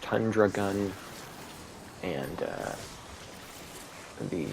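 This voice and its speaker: A man giving rambling, monotone commentary while playing a video game, with many fillers. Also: low voice, extremely slow, vocal fry. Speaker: gaming video creator. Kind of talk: casual gameplay commentary. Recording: steady background noise.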